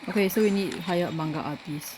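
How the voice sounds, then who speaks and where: A woman's voice: on the low side, fast, background noise. A woman giving casual commentary while playing a game. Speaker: gamer playing and reacting.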